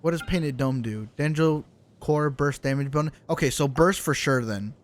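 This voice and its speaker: A man's voice: a little high, normal speed. A man doing casual live gameplay commentary as a streamer, thinking aloud and talking to himself. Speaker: game streamer.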